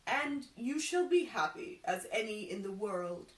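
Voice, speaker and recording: A woman's voice: medium pitch, lively intonation, a little slow, natural voice. Woman putting on a pompous old fortune teller man, echoey. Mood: solemn. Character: sly old male fortune teller, grand and deliberate. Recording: roomy echo, distant microphone.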